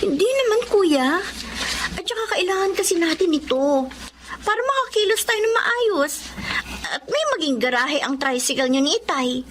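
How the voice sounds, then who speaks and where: A woman's voice: very high voice, very animated, normal speed, noisy background. An actress playing a hopeful, trusting young woman in a Filipino drama, with a Filipino accent. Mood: earnest, hopeful. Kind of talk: Filipino radio-style melodrama acting.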